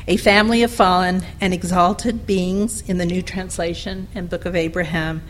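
A woman giving a conference presentation, reading her paper in a measured way at a podium microphone. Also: on the low side, fairly even intonation, slight background noise, rough recording. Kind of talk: academic presentation and Q&A. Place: lecture room.

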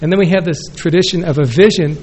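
A man's voice: a little high, fast, darkish tone, slightly rough, rough recording. Rambling man at a conference podium. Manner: rambling, self-correcting, conversational. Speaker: conference respondent. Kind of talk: academic conference response. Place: conference room with audience. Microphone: podium microphone.

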